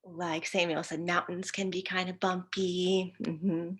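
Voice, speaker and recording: A woman's voice: on the low side, little pitch movement, a little slow, a bit bright, breathy edge. A woman who stutters openly, moderating a friendly video call and reading out chat messages with frequent laughter. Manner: stutters with repeated sounds, upbeat and laughing often.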